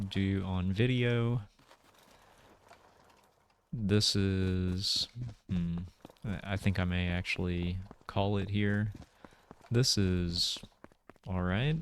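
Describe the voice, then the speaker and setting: A man's voice: deep voice, a little hiss or hum. A man giving casual gameplay commentary, thinking aloud in a hesitant, musing way. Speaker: video game player and commentator. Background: music.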